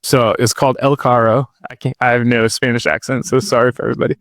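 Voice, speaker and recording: A man's voice: mid-range voice, level tone, fast talker, fairly bright tone, no room sound. A man in his thirties, a podcast guest, telling his life story in a loose, rambling way with lots of "like" and "dude". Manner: laid-back, rambling, says dude and like a lot. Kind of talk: casual storytelling interview.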